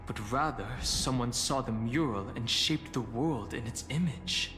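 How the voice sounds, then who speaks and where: A man's voice: mid-range voice, measured pace, a bit bright, lightly acted. An actor playing a reserved, analytical young man in a video game, speaking in a pensive, composed, questioning manner. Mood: puzzled, composed. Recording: long reverb, music behind. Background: faint game music.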